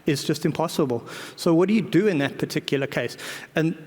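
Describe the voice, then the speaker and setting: A man's voice: a little high, brisk, darkish tone. A man speaking informally as a conference presenter, colloquial and self-deprecating, often repeating words and backtracking as he goes. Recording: reverberant hall recording.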